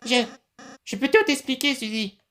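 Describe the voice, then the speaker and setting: A woman playing a timid but loyal little monster prince, a young boy, with halting, earnest delivery in a dramatic game comic dub. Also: sits high, sing-song, fairly bright tone. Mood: pleading.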